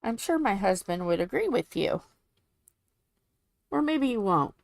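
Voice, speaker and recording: Woman, forties, young-sounding, soft and drowsy tone, lively pitch, dry close sound. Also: measured pace, vocal fry, dry recording. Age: 40s. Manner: soft, sleepy-sounding delivery. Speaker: craft livestream host. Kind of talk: casual chat while crafting.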